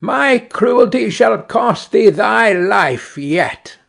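A woman's voice: medium pitch, expressive, creaky, voice pushed up, exaggerated voice. A man doing a vengeful little sparrow. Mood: menacing. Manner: slow, menacing drawn-out threats. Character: vengeful female sparrow, small and relentless.

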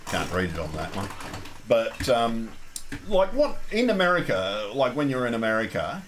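Australian man, call-in show host, mid-pitched, chatty and rambling, faint hiss behind. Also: wildly swooping pitch, moderate pace. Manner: rambling, chatty, frequent ums and restarts. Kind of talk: casual call-in chat.

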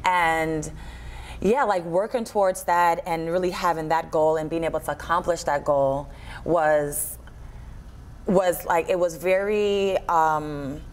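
A woman's voice: deep voice, fairly even intonation, takes it slowly. A woman around thirty, a performer being interviewed as a guest, talking casually and informally in a TV studio. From Trinidad and Tobago and Buffalo, USA. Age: 30s. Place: TV studio kitchen set, indoors. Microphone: lapel mic.

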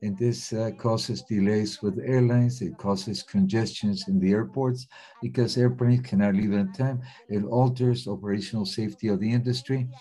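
A man with a low, mellow voice interpreting a webinar talk. He speaks in a steady, measured way with an airy, husky edge, and pauses now and then as he works. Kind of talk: simultaneous interpretation of webinar talks. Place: remote webinar.

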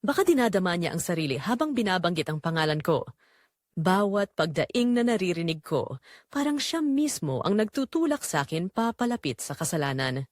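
A woman's voice: on the low side, very animated, moderate pace, dry recording, studio quality. A woman narrating a scripted first-person audio drama in short, dramatic, suggestive phrases.

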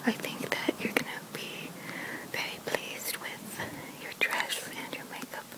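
A woman whispering softly and breathily in an ASMR roleplay as a shop consultant, in unhurried short phrases with hesitant pauses. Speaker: ASMR creator playing a bridal boutique consultant.

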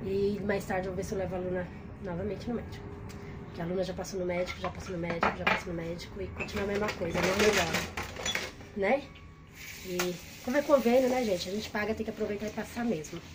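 A woman's voice: mid-range voice, steady tone, fast. A woman in her thirties from Brazil chatting casually to the camera in a home vlog, filming on a phone held at arm's length indoors. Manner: chatty, talks straight to the camera. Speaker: vlogger. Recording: noisy phone recording.

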